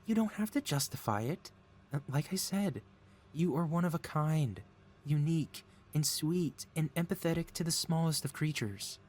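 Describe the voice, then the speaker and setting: A man playing a possessive mad scientist supervillain boss in an ASMR audio roleplay, talking in a soothing, coaxing, flattering way as if innocent. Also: fairly high pitch, moderate pace. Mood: adoring, coaxing. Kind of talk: ASMR audio roleplay, intimate one-sided dialogue.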